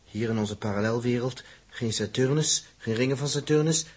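A man's voice: medium pitch, moderate pace, darkish tone, husky, lightly acted. A man with a Netherlands Dutch accent acting an irritable, stubborn spaceman in a 1960s science-fiction radio drama. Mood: tense, flustered. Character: male spaceman Dirk, irritable, stubborn, bossy.

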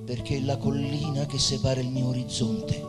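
An actor playing a weary, reverent dying poet in a theatrical reading, declamatory yet hesitant, with phrases that fade toward whispers. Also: male voice, mid-range voice, steady tone, normal speed, lightly acted. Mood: solemn, grateful. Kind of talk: theatrical monologue with narration, live literary stage reading. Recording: reverberant hall with music.

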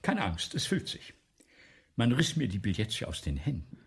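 A vain, self-dramatizing man of letters from the nineteenth century. His voice is very breathy and raspy and sits a little low, with big swings in pitch. He holds forth pompously and theatrically, in the manner of a seventies radio drama. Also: sing-song, moderate pace, exaggerated voice. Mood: tense, self-assured. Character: vain, excitable, self-dramatizing 19th-century male playwright. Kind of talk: German radio play acting, 1970s.

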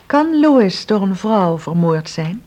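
A woman's voice: mid-range voice, very animated, slow pace, mellow. A woman playing a spirited, inquisitive confidante in a Dutch radio drama, speaking bluntly and expressively. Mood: frank, probing.

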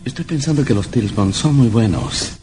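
An actor playing a young novelist husband in an old radio drama, earnest, curious and theatrical. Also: male voice, mid-range voice, big pitch swings, normal speed, dark tone, natural voice. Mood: caring.

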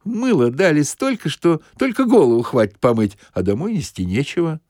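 A man's voice: a little high, sing-song, moderate pace, darkish tone, dry recording, studio quality, pitched above the performer's own voice. A man voicing a disgruntled, grumbling bathhouse customer with a rustic, griping manner, in an audiobook.

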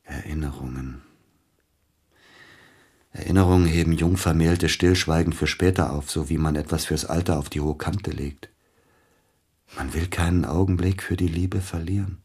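An actor in a radio play playing a grieving, jealous widowed pianist who speaks formally and broodingly, with hesitations. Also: male voice, very deep voice, fairly even intonation, moderate pace, pauses often, mellow, breathy edge, exaggerated voice. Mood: melancholy, apologetic. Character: grieving, jealous widowed male pianist, courteous but probing. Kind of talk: German radio play acting.

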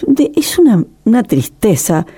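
A woman's voice: on the high side, very animated, measured pace, dark tone, husky. A middle-aged woman filmmaker telling her story in an interview, passionate and emotional, often restarting her sentences. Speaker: documentary filmmaker, guest.

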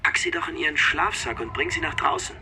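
An actor playing a practical, matter-of-fact police dispatcher who guides an officer remotely in a radio crime drama. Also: male voice, fairly high pitch, expressive, fast, vocal fry, pushed and bright, a little hiss or hum, full character voice. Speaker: police colleague guiding by radio link. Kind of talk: naturalistic German radio drama acting.

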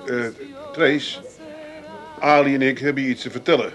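A man playing an awkward husband and son in a radio comedy. He has a medium-pitched voice and speaks haltingly, repeating words, meaning well. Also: fairly even intonation, fairly bright tone, soft background music, natural voice. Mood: awkward, apologetic. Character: awkward, well-meaning married son of a working-class family. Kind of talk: 1980s Dutch comedy radio play acting.

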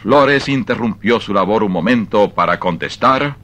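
A man narrating a dramatized short story for radio in an expressive, measured storytelling style. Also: fairly low pitch.

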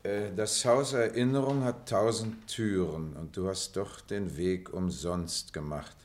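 An actor playing an eloquent, outspoken middle-aged former pupil who broods in a reflective, declamatory manner. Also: male voice, low-pitched, a little slow, darkish tone. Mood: solemn reciting. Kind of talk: German literary drama acting.

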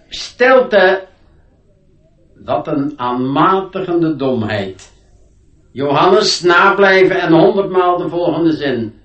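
An actor playing a stern, pompous schoolmaster in a radio play, scolding in a deliberate manner, in a roomy recording. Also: male voice, sits high, distant mic, dull audio, exaggerated voice. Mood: scornful, strict. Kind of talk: radio drama acting. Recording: roomy, set back from mic.

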